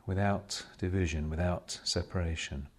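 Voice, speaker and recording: A man leading a guided meditation as a spiritual teacher, speaking in measured, solemn short phrases with long pauses between them. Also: low voice, steady tone. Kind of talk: slow guided meditation talk.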